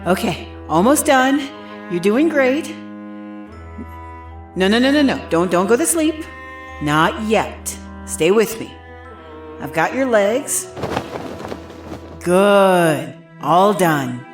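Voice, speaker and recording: A woman's voice: mid-range voice, sing-song, slow pace, pauses often, soft background music. A woman playing a nurse in an audio drama, narrating theatrically in the first person, soothing on the surface and vengeful underneath. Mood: falsely soothing. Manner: theatrical, swinging between cooing reassurance and private contempt. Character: vengeful nurse, sweetly soothing outside, cold and bitter within.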